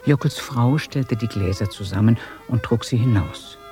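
An actor playing the calm narrator of a village tale, reading literary prose for a German radio drama. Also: male voice, medium pitch, normal speed, over music, close to an everyday voice. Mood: calm, descriptive. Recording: 1980s radio play on cassette.

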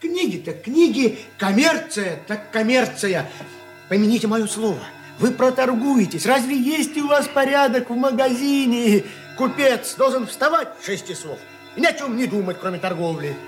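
An actor playing a brash, hard-drinking merchant's clerk, boastful, blunt and needling, in a Soviet radio play. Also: male voice, very high voice, expressive, normal speed, soft background music. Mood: mocking, scornful.

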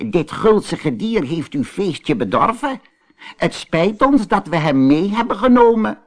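A man's voice: high-pitched, sing-song, a little slow, pitched above the performer's own voice. A man voicing a prim, polite, disapproving parrot in a storybook, speaking in a proper, precise way. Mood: politely indignant. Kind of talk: storybook character voice.

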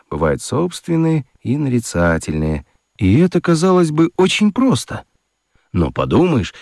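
A man narrating a children's radio story in an expressive, theatrical, confiding way with playful gravity and dramatic pauses. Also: medium pitch, dry recording, studio quality. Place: studio. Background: music.

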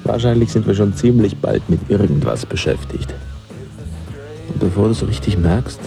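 A man's voice: on the low side, animated, normal speed, gravelly, music in the background. An actor playing a laid-back young male friend in a radio drama, giving dry, cynical life advice in a philosophizing way. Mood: dry, musing. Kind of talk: naturalistic radio play dialogue.

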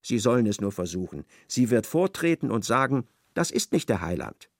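A man playing a wry literary narrator in a radio drama, expressive and ironic as he slips into a character's thoughts. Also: mid-range voice, moderate pace, no echo, studio quality. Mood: fervent.

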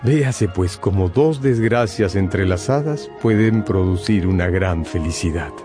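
A man's voice: deep voice, lively intonation, a little slow. A man narrating a scripted radio drama as a dramatic storyteller. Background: background music.

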